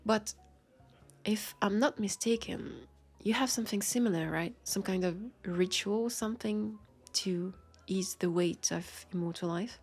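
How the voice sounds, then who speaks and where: Actress for wary old vampire detective, female, French accent, husky breathy voice, pensive roleplay delivery over background music. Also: mid-range voice, measured pace. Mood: curious, calmer. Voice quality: breathy, soft. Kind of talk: intimate scripted audio roleplay, addressed to listener. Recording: music under the voice.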